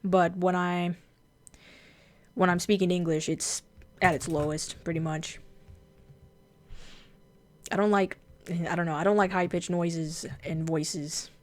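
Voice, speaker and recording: A woman whose first language is Japanese, with a fairly deep voice, chatting casually and bluntly on a drawing livestream, swearing freely. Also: steady tone, slow pace, long pauses. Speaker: streamer and artist.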